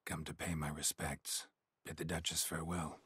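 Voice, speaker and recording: An actor playing a stoic, world-weary monster hunter in a fantasy game, speaking tersely in a low gravelly voice. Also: male voice, normal speed, no room sound, studio quality, exaggerated voice. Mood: somber. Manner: terse, clipped, flat delivery.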